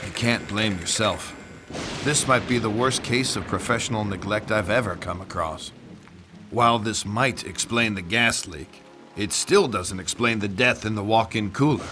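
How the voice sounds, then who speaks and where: An actor playing a world-weary, suspicious police detective in a video game, blunt and deliberate with a folksy, hard-boiled manner. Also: male voice, lowish voice, noisy background, lightly acted. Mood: blunt, grave. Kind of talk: video game cutscene acting and noir-like narration.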